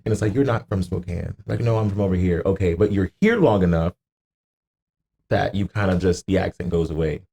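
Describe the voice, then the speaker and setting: A man's voice: low voice, brisk, creaky, warm tone, slightly rough. An American man in casual podcast conversation, rambling and repeating words for emphasis as he goes. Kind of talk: casual chat.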